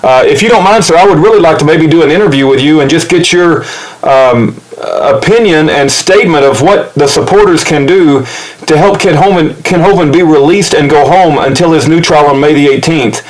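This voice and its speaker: A man speaking informally in an earnest, polite way, halting as he searches for words, like someone leaving a message or update for a cause. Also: fairly high pitch, poor audio. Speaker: activist supporter leaving a voicemail and recording it for upload. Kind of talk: informal spoken appeal, phone message and update.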